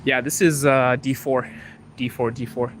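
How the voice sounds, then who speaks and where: A man's voice: medium pitch, little pitch movement, normal speed. A man from Zimbabwe hosting a casual live stream, chatting with viewers and answering their questions while riding the metro. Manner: chatty, answers chat questions, laughs often. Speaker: livestream host. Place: Moscow metro train and platform. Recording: loud train and city noise.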